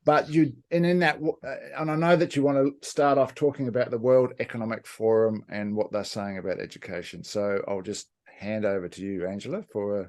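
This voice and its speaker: A man's voice: on the low side, fairly even intonation, darkish tone. An Australian man hosting a live online event over a video call, running through logistics and introducing a guest in a chatty, informal way. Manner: chatty and informal, with quick asides and fillers. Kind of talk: hosting a livestream webinar.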